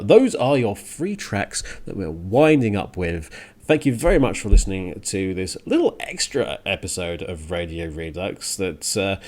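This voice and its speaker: Casting: male podcast host, casual and rambling, expressive up-and-down delivery, medium pitch, works things out aloud. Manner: thinking aloud, self-correcting. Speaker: radio show and podcast host. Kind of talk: casual rambling solo radio show talk.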